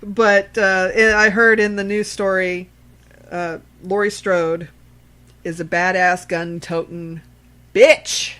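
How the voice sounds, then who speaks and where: A woman with a medium-pitched voice hosts a casual podcast. She talks emphatically and bluntly, swearing freely as she chats. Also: flat delivery, slow, fairly bright tone. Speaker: horror podcast host.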